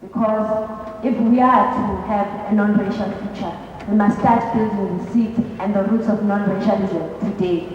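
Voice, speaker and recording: A woman's voice: medium pitch, steady tone, moderate pace, few pauses, a little mellow, recorded from a distance, slight background noise. A woman giving a political speech at an indoor rally. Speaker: student rally speaker. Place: indoor university hall. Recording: muffled, echoey hall, picked up from afar.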